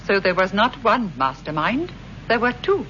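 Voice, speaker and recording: An actress playing a young criminal's accomplice, dramatic and intense, in a vintage radio serial. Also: female voice, mid-range voice, very animated, moderate pace, fairly bright tone. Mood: startled. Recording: dull, hissy vintage radio recording.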